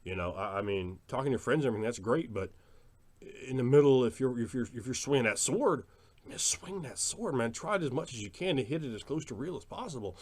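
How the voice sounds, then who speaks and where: An emphatic man talks casually to his viewers about his opinions. His voice sits at a middle pitch, and he repeats phrases to drive points home in a loose vlog monologue. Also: lively intonation, a little fast. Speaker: tabletop role-playing video creator.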